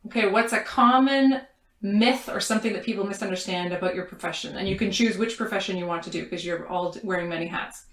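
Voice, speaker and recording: A woman's voice: mid-range voice, fast talker, fairly bright tone. A woman hosting a podcast in casual, chatty, informal conversation. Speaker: podcast host. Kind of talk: casual chat. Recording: echoey room.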